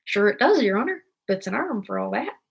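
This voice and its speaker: A woman's voice: high-pitched, very animated, fast, voice pushed up. A woman voicing a deferential, plain-spoken rustic male servant from a storybook, protesting to his master.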